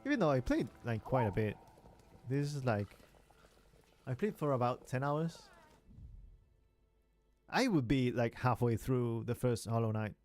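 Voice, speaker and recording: A man's voice: medium pitch, sing-song, a little slow, long pauses, a little mellow, faint music behind. A man from Spain, a native Spanish speaker, chatting casually with his viewers while playing a game and laughing easily. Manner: chatty, answers chat, laughs easily, fillers like "like". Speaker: streamer. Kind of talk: casual live game stream chat.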